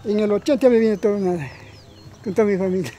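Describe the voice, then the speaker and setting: A man's voice: sits high, fast, mellow, a little hiss or hum. An older man with a Peruvian accent, recalling the past casually in plain, repetitive phrases. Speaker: villager giving testimony. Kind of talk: casual oral recollection.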